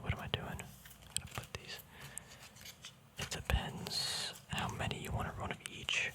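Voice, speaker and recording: A man whispers throughout in a breathy, voiceless hush. He talks casually in an ASMR style, murmuring his commentary as if thinking aloud, with a hesitant, unscripted flow. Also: poor audio. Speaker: ASMR video creator. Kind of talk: ASMR, casual rambling commentary on a card deck.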